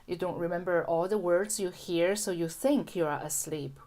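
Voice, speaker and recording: A middle-aged woman hypnotherapist answering a listener's question in a calm, gentle way, with frequent ums and restarts. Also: lowish voice, normal speed. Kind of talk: casual explanatory talk to camera.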